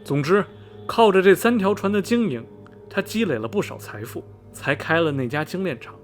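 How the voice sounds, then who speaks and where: Guy doing a chatty station worker in a radio play, swingy higher voice, sighs a lot, music underneath. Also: animated, moderate pace. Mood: gossipy, knowing. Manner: folksy, rambling, full of sighs and interjections. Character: chatty, gossipy veteran railway station worker, smoker. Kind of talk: Mandarin radio drama dialogue, storytelling. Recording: music behind the voice. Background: background music.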